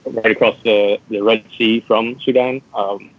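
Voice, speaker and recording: A young man from New York, a student guest, speaking earnestly but haltingly with many uhs. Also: lowish voice, fairly even intonation. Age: young adult. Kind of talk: casual interview. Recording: dull lo-fi audio.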